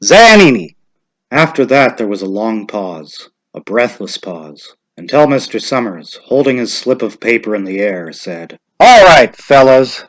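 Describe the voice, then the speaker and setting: A man narrating a short story in a measured, expressive, suspenseful dramatic reading style. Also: on the low side, rough recording.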